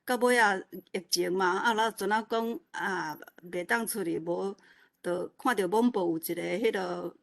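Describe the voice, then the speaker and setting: A woman's voice: mid-range voice, normal speed, vocal fry. A woman with a Taiwanese accent speaking informally and modestly in an online meeting, rambling and repeating words as she searches for them. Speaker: new organiser of an online literature event. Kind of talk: informal unscripted self-introduction.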